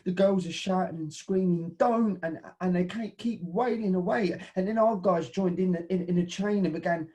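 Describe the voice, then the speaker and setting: Brief: an actor as a brawling football fan, rough-spoken, stumbling over words. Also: male voice, sits high, normal speed, few pauses, mellow, close to an everyday voice. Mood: agitated. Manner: rough, swearing, stammering storyteller. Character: rowdy male football hooligan. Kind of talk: satirical audio drama.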